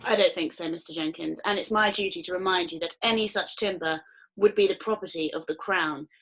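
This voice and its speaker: A woman's voice: on the low side, brisk, low-quality recording. A woman voicing a pompous, portly male customs officer who talks in a formal, officious way.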